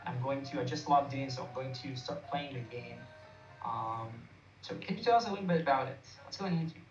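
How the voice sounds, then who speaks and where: A man hosts a casual online showcase in an informal, hesitant way. His middle-pitched voice sounds roomy and a little far from the mic, over a band-limited call with some music. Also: fairly quick, muffled recording. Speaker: host of a game jam showcase. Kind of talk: casual live presenting with questions to guests.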